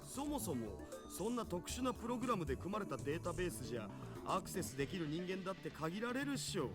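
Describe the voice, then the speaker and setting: Geeky anime hacker dude, super fast n high, playful and smug, music behind. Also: male voice. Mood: matter-of-fact. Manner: animated, slangy, whooping. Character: excitable geeky young male hacker, cocky and playful. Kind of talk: Japanese anime visual novel acting.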